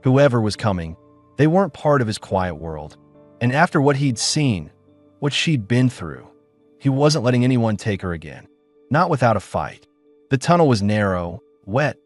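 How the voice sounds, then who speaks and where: A man with a low voice narrates a story in a scripted, dramatic way. His pitch rises and falls in a lively manner. Faint music plays behind him. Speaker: audiobook narrator.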